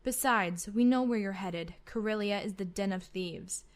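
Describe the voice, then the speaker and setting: A woman's voice: medium pitch, moderate pace, lightly acted. A woman playing a haughty, menacing imperial admiral in a sci-fi fan roleplay, pompous and mock-courteous. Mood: cold, threatening. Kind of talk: scripted fan audio roleplay.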